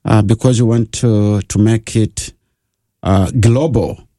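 A man from Uganda, a pastor who is a guest on a radio show. He tells his story with pauses. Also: on the low side, fairly even intonation, warm tone. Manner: slow, telling a story with pauses. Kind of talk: radio interview. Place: radio studio.

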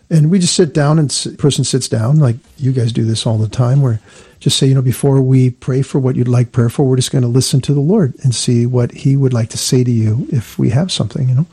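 A man, a priest, calmly sharing a reflective story in casual conversation. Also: medium pitch, slightly rough, no room sound.

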